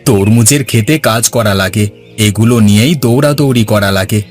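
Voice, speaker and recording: A man's voice: fairly low pitch, normal speed, lightly acted. A man playing an earnest, dutiful village farmer son in cartoon dialogue, with music behind. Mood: reassuring.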